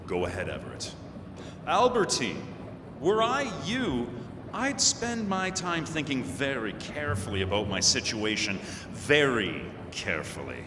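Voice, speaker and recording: A man acts out a pompous police corporal for an old-fashioned radio play, his pitch swinging widely. He is officious and menacing in a theatrical way, heard in an echoing hall with clear noise. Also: huge pitch swings, a little slow. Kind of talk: old-time radio drama acting. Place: live stage staged as a radio studio.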